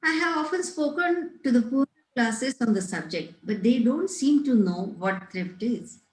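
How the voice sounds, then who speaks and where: Lady reading a stern victorian governess, highish voice, prim n disapproving, roomy echo. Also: expressive, measured pace. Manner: prim, moralizing. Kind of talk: amateur play table read.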